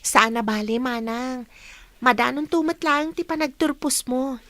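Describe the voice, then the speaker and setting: High-pitched, friendly student girl acted by a woman. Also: a little slow, bright, forceful tone, no room sound. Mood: friendly, eager. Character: friendly, encouraging young female student. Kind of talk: Ilocano radio drama acting.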